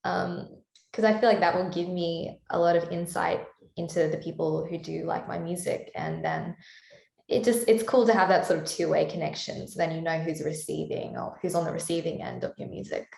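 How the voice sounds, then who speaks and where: A woman with a Canadian-sounding accent talks easily through an interview, her voice sitting on the low side with a gravelly, fry-edged texture. She laughs lightly between thoughts. The recording carries a noticeable roomy echo. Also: fairly even intonation, moderate pace, darkish tone. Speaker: singer-songwriter guest. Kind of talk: casual interview chat.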